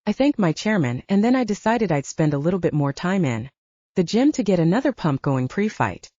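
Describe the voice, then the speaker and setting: A woman's voice: fairly low pitch, big pitch swings, a little fast, mellow, no echo, muffled recording. A woman narrating scripted first-person fiction as an audiobook narrator.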